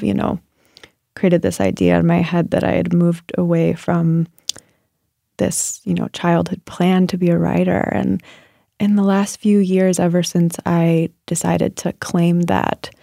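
Gravelly, dark-voiced reflective woman writer. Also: lowish voice, no room sound, clean studio sound. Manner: thoughtful, searching, many fillers and restarts. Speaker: writer and guest. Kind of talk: reflective interview answers.

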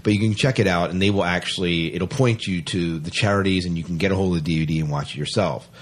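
Male podcast host, low husky register, conversational and emphatic, informal review chat. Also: quick pace.

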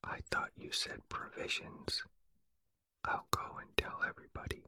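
A storyteller acting out an eager, muddle-headed little boy bear from a storybook. He speaks in slow, wondering whispers, bright and very dry. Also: very high-pitched, dry recording, heavily acted. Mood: puzzled, happy. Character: naive, eager, muddled male toy bear.

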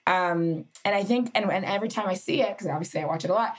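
A woman's voice: medium pitch, fast, slight background noise. A woman co-host and teacher, talking in a rambling, hesitant way with many restarts. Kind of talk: podcast conversation.

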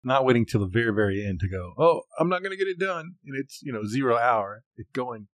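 A man's voice: medium pitch, very animated, quick pace, no echo. A man in casual conversation as a podcast co-host.

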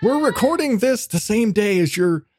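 A man's voice: high-pitched, lively intonation, moderate pace, faint music behind. A man hosting a podcast in a casual, rambling, sweary chat style. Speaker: podcast host.

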